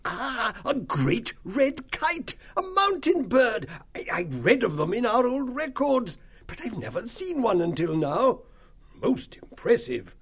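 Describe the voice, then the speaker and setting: A frail, kindly old abbot mouse who speaks gently, with small stammers and wonder in his voice, as a man puts him on. His voice is high, husky and creaky, slow and wavering. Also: very animated, exaggerated voice. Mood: gentle. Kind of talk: audiobook character voice.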